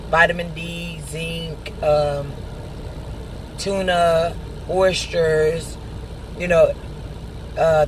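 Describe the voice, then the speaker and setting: A man talks through a casual personal update, his voice sitting a little high. He rambles and stops often to gather his thoughts. A faint hum of traffic and an engine sits under his voice. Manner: rambling, halting with many pauses. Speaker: vlogger.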